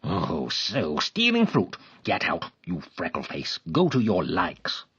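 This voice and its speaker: A man's voice: mid-range voice, sing-song, measured pace, no echo, voice pushed up. A man playing a fawning, lecherous middle-aged official who turns harsh and scolding, read in a storybook. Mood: furious. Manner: wheedling, then harsh and scolding. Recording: dull lo-fi recording.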